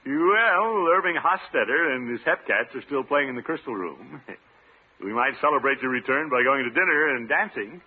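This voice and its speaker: An actor playing a flustered, pompous middle-aged American bachelor in a radio situation comedy, with hesitant, blustering delivery. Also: male voice, medium pitch, lively intonation, moderate pace, slightly rough. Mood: nervously flirting. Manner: hesitant, blustering, nervous chuckles. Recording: tinny, noisy old radio broadcast recording.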